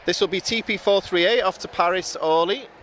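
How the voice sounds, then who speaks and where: A man's voice: high voice, a little fast, fairly bright tone. A man from the UK with a British accent, giving casual, chatty live commentary as the host of a plane-spotting stream outdoors. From United Kingdom. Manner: informal, chatty. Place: outdoors by an airport. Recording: outdoor noise, aircraft and vehicle ambience. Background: outdoor urban and vehicle noise.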